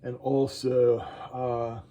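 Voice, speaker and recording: Rambling man with a dark mellow voice. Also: mid-range voice, level tone. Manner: halting, many fillers and restarts, enthusiastic. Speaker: artist vlogging while drawing. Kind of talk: casual rambling talk while drawing. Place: indoor studio, moves around the room.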